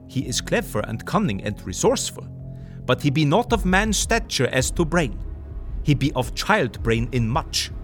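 Old professor, slow and all over the place pitch-wise, faint music under. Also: male voice. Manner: broken English, emphatic lecturing, lively swings. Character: elderly male Dutch professor, earnest, lecturing. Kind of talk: audio drama acting.

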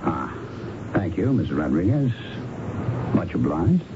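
A California investigator in a 1970s radio drama. His American voice moves freely up and down as he probes, casual but persistent. The old broadcast sounds dull, with clear noise. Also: low-pitched, sing-song, a little slow, heavily acted. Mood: casually persistent. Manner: probing, casual, hesitant lead-ins to questions. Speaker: actor playing an investigator. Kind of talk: radio drama acting with narration.

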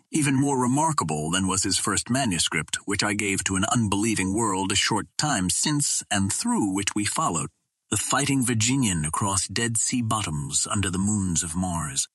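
A man reading an old adventure novel as an audiobook narrator, in a measured, dramatic storytelling manner. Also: on the low side, bright, forceful tone, husky, no echo.

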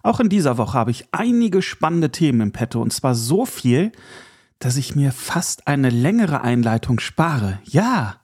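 A man's voice: mid-range voice, big pitch swings, unhurried, darkish tone, slightly rough. A man hosting a podcast, chatting informally through news and opinions and addressing the listener directly. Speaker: podcast host. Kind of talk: casual solo news and review commentary.

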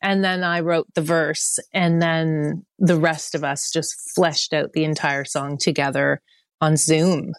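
A woman's voice: deep voice, fairly even intonation, a little slow, no echo. A woman musician being interviewed, chatting casually and rambling with lots of fillers. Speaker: musician guest.

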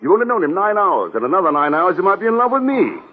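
An actor playing a suave, wry and persuasive secret agent in a 1940s radio drama. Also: male voice, high voice, very animated, fast, husky, exaggerated voice. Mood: insistent, wry. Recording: muffled, narrow-band old radio recording, reverberant.

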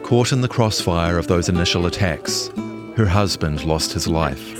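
A man's voice: low-pitched, normal speed, over music. A man reading a scripted longform news feature as a journalist narrator.

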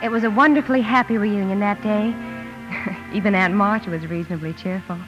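Actress as a gentle elder sister, dull old recording. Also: female voice, mid-range voice, brisk, music in the background, close to an everyday voice. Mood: wistful, narrating. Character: gentle, caring married elder sister narrating family story. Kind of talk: 1950s radio drama narration and acting.

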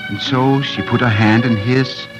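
A man's voice: mid-range voice, fairly even intonation, measured pace, muffled recording. A man narrating a fairy tale in solemn, lyrical 1940s radio drama style. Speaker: storyteller narrator. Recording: old radio recording with music behind.

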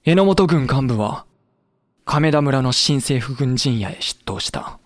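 A young actor playing a loyal samurai officer who narrates soberly and pleads with fiery passion. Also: male voice, medium pitch, expressive, takes it slowly. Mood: sober narration. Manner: passionate, rising to near shouting when pleading. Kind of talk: audio drama acting and period narration.

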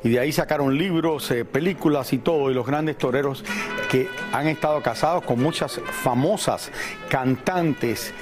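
A man's voice: mid-range voice, normal speed, over music. A man from Cuba who grew up partly in Spain, hosting a television show with emphatic, unscripted opinion. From Cuba, raised partly in Spain. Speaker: TV show host. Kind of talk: unscripted opinionated studio chat.

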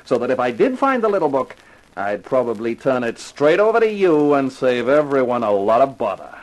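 A man's voice: mid-range voice, sing-song, a little fast, close to an everyday voice, poor audio. A man acting a cocky, sardonic private detective with flippant, slangy talk in a 1950s radio crime drama. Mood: knowing, accusing.

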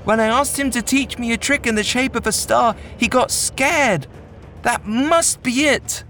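A high urgent young boy. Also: unhurried, sharp tone, faint music behind, full character voice. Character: frightened determined young boy.

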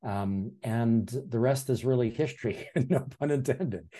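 A man in his sixties from Hawaii, telling his life story in a relaxed, reflective way as a podcast guest, with frequent ums and restarts. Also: medium pitch, unhurried. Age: 60s. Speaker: podcast guest, former history teacher. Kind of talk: casual interview storytelling.

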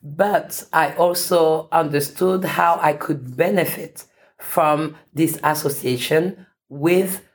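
Interview guest: woman professor from France, deep voice, reflective, many fillers and restarts. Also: slow.

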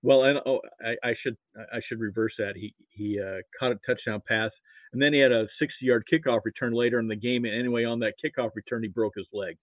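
A man's voice: medium pitch, steady tone, a little fast, no room sound. American guy reminiscing on a thin phone line, dry sound, stumbles and repeats words, middle-aged or older. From Nebraska, United States. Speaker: interview guest, football archivist. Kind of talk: casual chat, reminiscing.

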